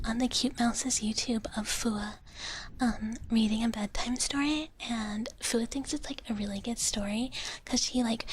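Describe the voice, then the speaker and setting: A woman voicing a gentle, naive dragon big sister in a soft breathy whisper, rambling hesitantly to viewers in a live ASMR stream. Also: on the high side, a little hiss or hum, natural voice. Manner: hushed, refers to herself in third person, hesitant rambling. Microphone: close ASMR microphone.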